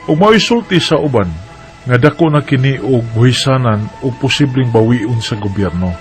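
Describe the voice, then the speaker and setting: A man's voice: mid-range voice, animated, normal speed, faint music behind, natural voice. A man with a Cebuano Filipino accent playing an earnest adult son in a radio drama. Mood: earnest, concerned. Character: earnest adult son working abroad, worried for father. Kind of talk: radio drama, reading a letter aloud.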